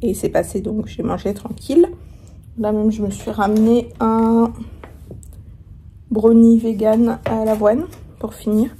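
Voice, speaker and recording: Young woman, travel vlogger, hotel room, sore throat, husky, mellow, rambling chat. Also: on the high side, little pitch movement, a little slow, pauses often. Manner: chatty, rambling, many fillers and restarts. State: sore throat, coming down with a cold. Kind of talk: casual vlog chat to camera.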